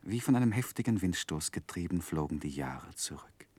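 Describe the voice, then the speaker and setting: Casting: earnest, sensitive literary hero, deep voice, dry studio sound, measured and reflective, vintage German radio play. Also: male voice, expressive, a little mellow, no room sound. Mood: absorbed, nostalgic. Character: David Copperfield, earnest, sensitive man recalling his youth. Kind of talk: 1960s German radio drama, literary first-person narration.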